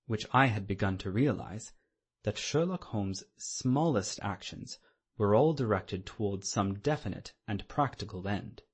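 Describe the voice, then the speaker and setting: Casting: male storyteller, lowish pitch, slightly husky, for scripted classic-novel narration. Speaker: audiobook narrator.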